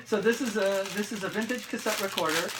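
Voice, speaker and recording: Jokey new guy doing an unboxing, kinda high voice, lots of background noise. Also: fast. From New York City. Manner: playful, jokey, chatty. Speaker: museum curator and presenter. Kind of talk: casual unboxing chat to camera. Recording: clear background noise, live ambience.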